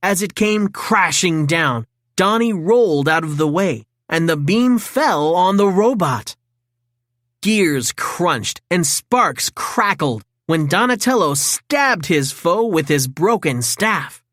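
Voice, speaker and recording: A woman reading a children's story in an expressive, dramatic read-aloud style. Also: on the low side, slow, no room sound, studio quality. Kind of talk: scripted storybook narration for children.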